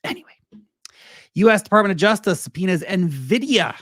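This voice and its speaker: A man's voice: sits high, lively intonation, normal speed, fairly bright tone, no echo, clean studio sound. A man hosting a casual livestream on crypto and stock markets, rambling through asides and answering his chat as he goes. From United States.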